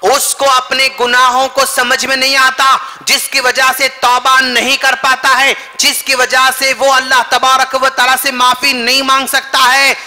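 A man's voice: extremely high pitch, monotone, unhurried, hardly any pauses, pushed and bright. A man in his thirties delivering a Friday sermon as an imam, emphatic and repetitive, speaking into a headset mic in a mosque hall. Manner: emphatic, repetitive, gesturing while preaching.

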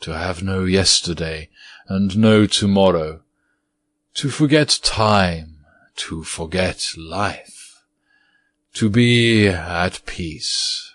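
A man reading aloud, voicing an old withered male ghost who speaks in a low, dreamy, mournful way. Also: dry recording.

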